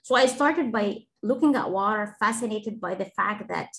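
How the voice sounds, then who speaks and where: A woman's voice: mid-range voice, animated, normal speed, vocal fry, a bit bright. A woman from Egypt, an engineering professor, giving a webinar talk and answering questions in an earnest, explanatory way, with fillers and restarts. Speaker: engineering professor, guest speaker.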